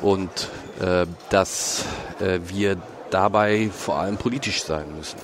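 A man's voice: deep voice, takes it slowly. A man from Cologne, guest on a podcast, explaining at length with many hesitations, recorded in a busy parliament foyer. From Cologne, Germany. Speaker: guest, head of a state environmental association. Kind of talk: podcast interview answers. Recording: noisy public lobby.

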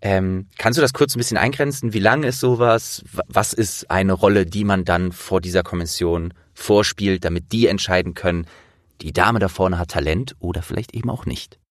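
A man's voice: low voice, normal speed, dry recording, studio quality. A man hosting a casual interview, explaining things for listeners and putting questions in a conversational way. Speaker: interviewer and host.

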